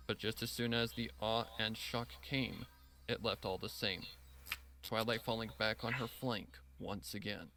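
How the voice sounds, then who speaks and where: A man's voice: lowish voice, steady tone, unhurried, gravelly, background noise. A man reading a scripted story as narrator, with a solemn, dramatic delivery. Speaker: narrator reading fan fiction.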